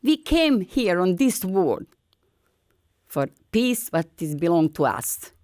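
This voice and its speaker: An older woman from Bosnia with a Bosnian accent, telling her story in halting, broken English in an earnest, encouraging way. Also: fairly low pitch, big pitch swings, a little mellow, dry recording, clean studio sound. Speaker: featured artist. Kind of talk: personal storytelling.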